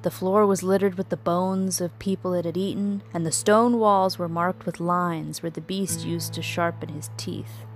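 A woman narrating a scripted children's story in an expressive, dramatic storytelling manner. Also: fairly low pitch, normal speed, few pauses, faint music behind.

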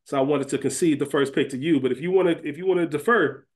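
A man's voice: a little high, quick pace. A man co-hosting a podcast, talking through a TV series in an informal, conversational, explanatory way. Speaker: podcast co-host. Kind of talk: casual podcast discussion of a TV series.

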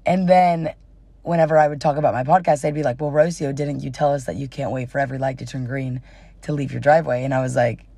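A woman's voice: a bass voice, fairly even intonation, quick pace, gravelly, mellow, dry recording. A young adult woman hosting a casual solo podcast in an informal, chatty way, her voice slightly worn as if she is starting to lose it. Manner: informal, giggly, uses y'all. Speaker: podcast host.

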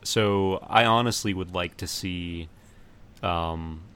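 A man's voice: deep voice, slow pace, pushed and bright, clear, resonant tone. A man hosting a casual podcast chat, putting questions and opinions to the group. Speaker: podcast host.

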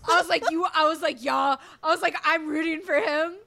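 Woman, high voice, bright tone, animated casual chat, podcast co-host. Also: a little fast. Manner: animated, gushing, many fillers and acted asides. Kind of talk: casual chat reviewing a series.

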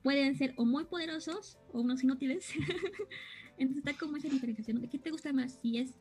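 A woman's voice: sits high, brisk, a little mellow, faint music behind. A young woman chatting casually, explaining things and often searching for the right words. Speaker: co-host explaining anime terms. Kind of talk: casual chat.

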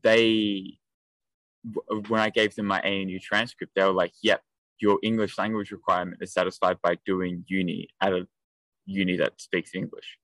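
A man's voice: low-pitched, little pitch movement, moderate pace, a bit bright, no room sound, rough recording. A young man, hosting a casual chat in a rambling, self-interrupting, jokey way. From Australia. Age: young adult.

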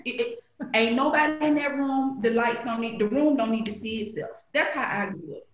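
A middle-aged woman from Alabama with a Southern accent, telling stories in an animated, playful way as a guest on a podcast. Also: fairly high pitch, fairly quick, reverberant, highs cut off. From Alabama, USA. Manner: animated storytelling, playful, acts out voices in quotes. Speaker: guest, grocery shopper. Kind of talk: casual podcast conversation.

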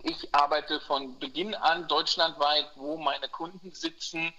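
Chatty man from Berlin, bright voice. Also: on the high side, fairly even intonation, unhurried. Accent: Berlin German. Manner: chatty, with frequent fillers and restarts. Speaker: mortgage broker guest. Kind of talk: relaxed interview chat. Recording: muffled, lo-fi sound.

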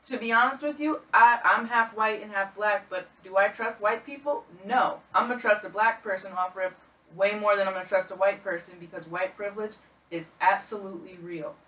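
A woman's voice: medium pitch, fairly quick, pushed and bright, distant mic. An emphatic young woman argues her points in a casual group podcast. She sits away from the mic, so her voice reaches it with a roomy echo. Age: young adult. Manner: passionate, emphatic. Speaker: guest.

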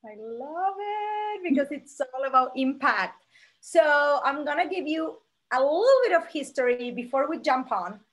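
Argentinian-born woman in her 40s, animated guest telling her story, slightly high voice. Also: unhurried. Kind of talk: conversational interview storytelling.

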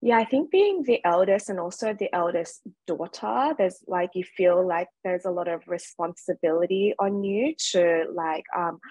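A woman with an Australian accent talks as a podcast guest, sharing her background in a candid, reflective way. Her voice sits on the low side. The recording is dry, with no room sound. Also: normal speed, hardly any pauses, a little mellow, slightly rough, no room sound. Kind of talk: casual interview conversation.

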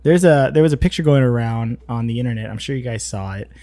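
A man's voice: medium pitch, fast, dark tone, no room sound. Chatty man in a dry room. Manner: laughs often, loose and chatty. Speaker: podcast host, wedding photographer. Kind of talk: casual chat.

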